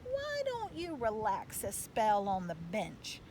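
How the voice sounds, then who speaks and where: Woman voicing a gruff, folksy storybook dad. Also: mid-range voice, huge pitch swings, slow pace, darkish tone. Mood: gruff, weary. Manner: folksy, blustering, bossy. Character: gruff grumbling father, manager of a losing baseball team. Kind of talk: storybook read-aloud. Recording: clear background noise.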